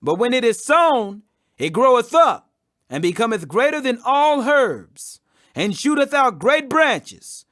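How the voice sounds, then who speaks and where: A man's voice: very high-pitched, sing-song, slow, a bit bright, no echo, studio quality. A man reading scripture aloud as a scripted audiobook, with an emphatic, declamatory delivery. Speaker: Bible reader.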